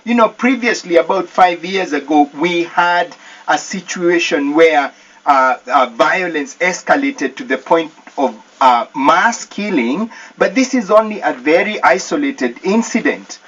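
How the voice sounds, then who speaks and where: Kenyan man, earnest radio guest, higher voice, distant dull recording. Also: expressive, a little slow, fairly bright tone. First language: Kikuyu. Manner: earnest, upbeat, says "actually" often. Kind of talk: radio interview.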